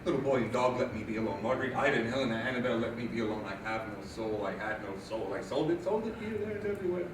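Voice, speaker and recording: Give me a man playing an anguished Doctor Faustus, talking fast, recorded far away in a booming hall. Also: expressive, close to an everyday voice. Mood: tormented. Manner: declaiming, tormented pleading. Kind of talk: staged reading of a play. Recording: distant, echoey hall with noise.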